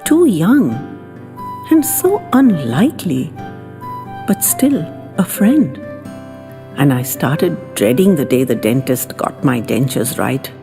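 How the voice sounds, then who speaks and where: A woman reading a first-person slice-of-life story as scripted narration, in an expressive, conversational way, as if telling it to someone close. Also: low-pitched, takes it slowly, vocal fry. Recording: long reverb, faint music behind.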